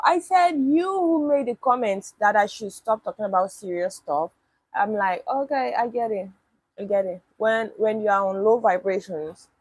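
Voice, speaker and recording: A woman's voice: a little high, darkish tone, slightly rough, no room sound, poor audio. A young woman, live-streaming casually and answering chat comments bluntly, with swearing. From Nigeria.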